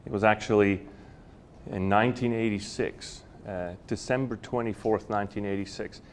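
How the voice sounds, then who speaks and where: A man's voice: lowish voice, steady tone, normal speed, slight background noise. A man, telling personal stories at a university talk, chatty and full of fillers and restarts. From Switzerland. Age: 40s. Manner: warm anecdotal storytelling with frequent fillers and restarts. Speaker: guest speaker. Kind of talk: storytelling lecture. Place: university lecture hall.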